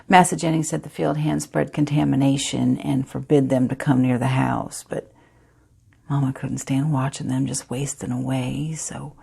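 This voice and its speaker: A woman performing a stage monologue as a grieving enslaved teenage girl, telling her story in a hushed, halting way. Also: extremely low pitch, gravelly, slightly rough, exaggerated voice.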